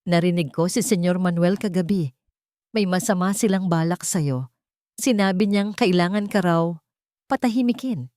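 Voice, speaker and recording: A woman's voice: lowish voice, moderate pace, mellow, no room sound, clean studio sound, natural voice. Woman voicing a trembling, anxious old servant woman. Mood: fearful warning. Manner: trembling, urgent warning.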